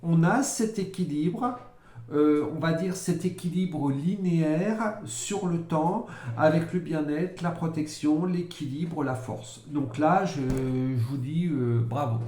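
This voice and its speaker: A man giving a casual monthly tarot-style forecast, talking slowly with many fillers and repeated words. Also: fairly high pitch, dark tone. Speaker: psychic card reader. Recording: echoey room, faint noise.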